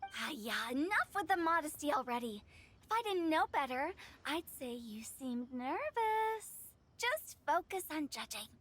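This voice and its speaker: Mischievous young director for a game: very high pitched, theatrical, declamatory, teasing. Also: female voice, big pitch swings, unhurried, fairly bright tone, slight background noise, full character voice. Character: playful, theatrical teenage girl director, mischievous show-off. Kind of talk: animated video game voice acting.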